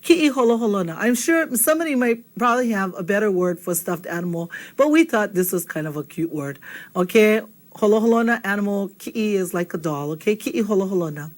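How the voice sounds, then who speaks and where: A woman runs a relaxed video lesson on words, speaking at an ordinary pitch. Her delivery is chatty and encouraging, and she tags almost every phrase with a quick check-in question. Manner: chatty, checks in with "okay?" constantly. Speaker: Hawaiian language teacher. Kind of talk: casual video language lesson.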